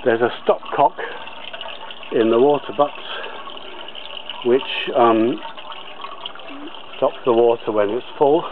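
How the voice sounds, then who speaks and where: A man talks his way slowly around his allotment, pausing and restarting often as he points things out. The sound is thin and tinny, like a phone line. Faint background noise hangs under his words. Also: mid-range voice. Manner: slow, halting, many fillers and restarts. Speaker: allotment gardener. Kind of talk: walking tour of his garden plot. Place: outdoors on a garden allotment.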